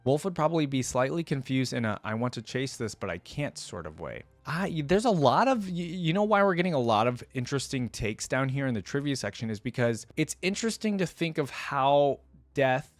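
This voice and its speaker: A man with a mid-pitched voice hosts a casual reaction video, recorded dry and close. He reads material aloud and breaks off to comment, thinking out loud in a conversational way. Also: lively intonation, a little fast, dry recording, soft background music.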